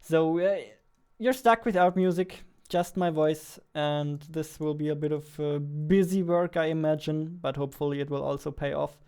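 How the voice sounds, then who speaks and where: A young man talks casually through his work at a computer, thinking aloud and joking at his own expense. His voice sits fairly high for a man, is very clear, and stays in a narrow range of pitch. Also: steady tone, normal speed, warm tone. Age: young adult. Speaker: indie game developer vlogging. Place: indoors at a computer desk.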